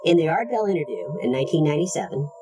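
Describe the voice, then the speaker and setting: A man's voice: on the high side, animated, very fast, over music. A man acting as interviewer, putting probing questions to someone.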